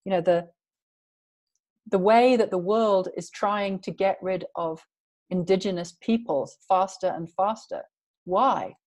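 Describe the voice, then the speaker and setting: A woman's voice: mid-range voice, a little slow, a little mellow. A woman guest in an interview, talking earnestly and emphatically in long flowing sentences. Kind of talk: passionate conversational interview.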